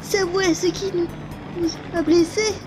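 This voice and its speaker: A performer voicing a small, excitable young pilot in a cartoon comic fan dub, exclaiming and drawing words out. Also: very high-pitched, measured pace. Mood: excited. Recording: music behind the voice.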